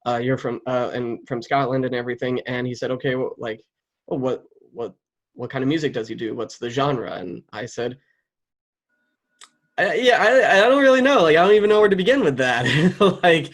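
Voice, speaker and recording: Male interviewer, medium pitch, informal and conversational, apt to chuckle mid-sentence. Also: expressive, moderate pace, fairly bright tone. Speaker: interviewer, content creator. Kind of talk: casual interview chat.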